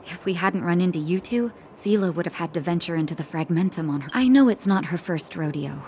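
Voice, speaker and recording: Woman doing a motherly caretaker, gentle, fond, worried, lowish voice, game dialogue. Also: slight background noise, natural voice. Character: caring, motherly woman who raised the heroine. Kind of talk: video game voice acting.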